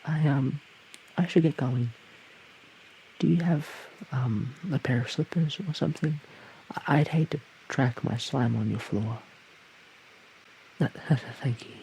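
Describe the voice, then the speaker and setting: A man's voice: medium pitch, measured pace, creaky, pauses often, warm tone, voice pushed up, low-quality recording. A man voicing a shy, awkward, friendly slime creature, stammering hesitantly in an audio roleplay addressed to the listener. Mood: bashful, flustered. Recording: hissy background noise.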